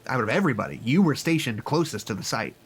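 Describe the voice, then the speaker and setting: An actor playing an awkward, apologetic psychologist who takes staff statements, halting and stammering in a naturalistic audio drama. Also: medium pitch, expressive, a little hiss or hum, natural voice. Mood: weary, earnest.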